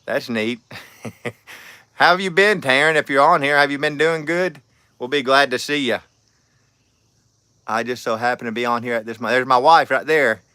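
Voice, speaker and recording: A man's voice: mid-range voice, normal speed, pauses often, sharp tone, smooth, no room sound, studio quality. Folksy thirty-something man chatting on a livestream. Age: 30s. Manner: rambling, friendly, folksy with many fillers and restarts. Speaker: livestream host. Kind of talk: casual livestream chat reading comments.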